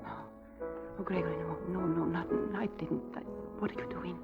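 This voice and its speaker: A woman's voice: fairly low pitch, steady tone, normal speed, gravelly. An actress playing a fragile, frightened wife who doubts her own sanity, pleading in old-time radio drama style. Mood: panicked. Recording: dull vintage radio recording, faint music.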